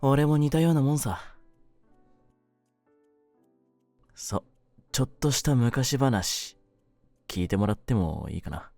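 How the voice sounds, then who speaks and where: A man playing a world-weary, lonely young mafioso in an intimate roleplay audio, speaking in a low voice with rough streetwise phrasing. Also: very animated, normal speed, no echo, faint music behind. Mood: wistful, empathetic. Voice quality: low-pitched voice. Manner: rough, streetwise masculine speech, brooding and soft-spoken. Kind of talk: intimate Japanese situation-voice roleplay, ASMR-like.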